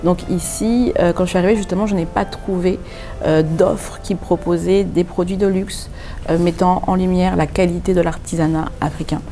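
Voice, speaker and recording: A French woman with a fairly low, mellow voice, speaking as a designer interviewed in her boutique. She explains at length and in earnest, pausing often to search for words. Soft music plays faintly in the background. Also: fairly even intonation. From France. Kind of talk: interview answers. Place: pop-up boutique.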